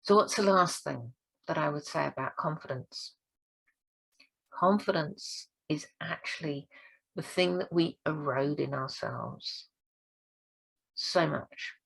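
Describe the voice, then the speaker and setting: A woman with a low, croaky voice, gravelly and husky from hoarseness, talks straight to camera as a speaking coach. Her delivery is earnest and encouraging. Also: very animated, slow pace, pauses often, a bit bright, poor audio. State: hoarse this week. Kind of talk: direct-to-camera training talk.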